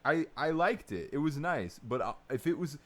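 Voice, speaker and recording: A man's voice: on the high side, very animated, normal speed, fairly bright tone. A man co-hosting a podcast, chatting casually in an opinionated, questioning way. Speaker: podcast co-host. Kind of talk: casual chat.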